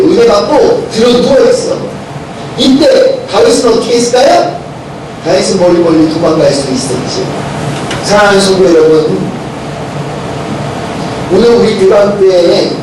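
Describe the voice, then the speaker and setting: Hoarse pastor guy yelling a sermon, high voice, far off in a super echoey church w/ background noise. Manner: preaching loudly with rising intensity, asks the congregation questions. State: hoarse from a cold. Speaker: senior pastor. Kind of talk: sermon and closing prayer. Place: church sanctuary. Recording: very echoey church hall recorded from a distance with noise.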